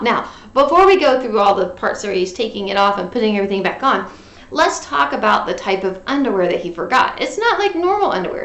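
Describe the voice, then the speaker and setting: Animated, high-voiced woman reading to children. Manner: playful, interactive, coaxing listeners to echo. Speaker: teacher reading a picture book to children. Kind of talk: animated children's read-aloud with sung refrains and asides.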